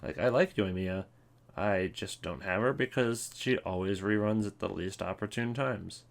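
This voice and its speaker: A man's voice: on the low side, moderate pace, creaky. A man hosting a casual ranking video with wry, offhand commentary. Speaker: video host.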